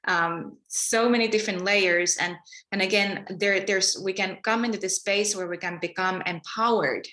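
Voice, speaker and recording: Bright-toned woman, calm and flowing. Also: mid-range voice, moderate pace, echoey room, rough recording. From Finland. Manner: calm, flowing, spiritual talk with many fillers. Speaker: guest, energy healer. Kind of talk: podcast interview.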